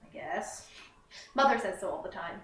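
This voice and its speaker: A woman's voice: fairly low pitch, animated, moderate pace, close to an everyday voice. A young woman playing a proud, plainspoken country girl who rambles in a folksy way in a theatrical monologue. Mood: proud, chatty. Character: proud, chatty country girl, doctor's daughter. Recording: roomy, echoing, far from the mic.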